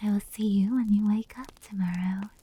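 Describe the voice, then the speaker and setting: A woman voicing an affectionate female fox spirit in a whispered anime ASMR roleplay, telling bedtime stories softly and intimately. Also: mid-range voice, steady tone. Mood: tender, playful. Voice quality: soft, breathy whisper. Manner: slow, intimate, hushed storytelling.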